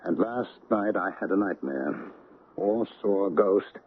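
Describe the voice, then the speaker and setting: Anguished banker, middle-aged, husky, halting, flustered, radio drama, thin band-limited old recording. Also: male voice, deep voice, expressive, phone-quality sound, exaggerated voice. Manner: hesitant, halting, polite.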